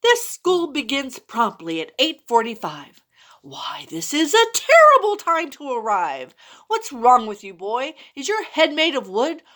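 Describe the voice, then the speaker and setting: A woman's voice: sits high, very animated, sharp tone, full character voice. A woman putting on a stern scolding schoolteacher. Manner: scolding, brisk questions.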